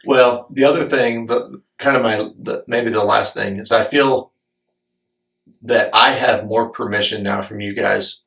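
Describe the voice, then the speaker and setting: A man hosting a podcast as a business coach, his voice hoarse and raspy, talking candidly and swearing freely. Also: lowish voice, fairly even intonation, unhurried, fairly bright tone, echoey room, recorded from a distance. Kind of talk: casual podcast conversation. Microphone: studio microphone.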